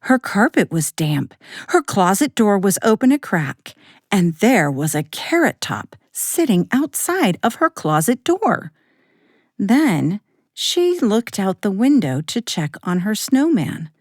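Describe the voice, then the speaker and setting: A woman narrating a children's bedtime story in a gentle, expressive way, speaking straight to young listeners. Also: medium pitch, takes it slowly, dry recording, clean studio sound. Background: music.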